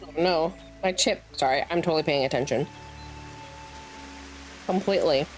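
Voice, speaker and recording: A woman's voice: sits low, moderate pace. Chatty woman streaming over game music. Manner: chatty, self-interrupting, playful asides while snacking; reads game dialogue aloud, stumbling over fantasy names. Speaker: streamer, gamer. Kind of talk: casual let's play livestream commentary. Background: video game music.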